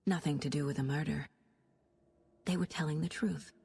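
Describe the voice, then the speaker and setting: An actress playing a calm, probing FBI agent who speaks in a measured, matter-of-fact way in a video game. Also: female voice, low voice, background noise. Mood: deductive, firm. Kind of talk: video game dialogue, police questioning.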